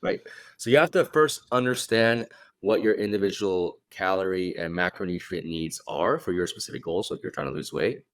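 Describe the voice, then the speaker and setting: A man's voice: deep voice, normal speed, fairly bright tone, dry recording. A young adult man from Hong Kong, a fitness coach guest talking casually on a podcast and often checking in with "right?".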